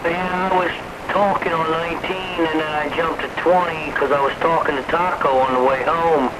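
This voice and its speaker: A man's voice: a little high, steady tone, normal speed, a loud crowd. A man talking casually as an operator on a CB radio. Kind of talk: casual chat over CB radio. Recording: very noisy, staticky CB radio transmission.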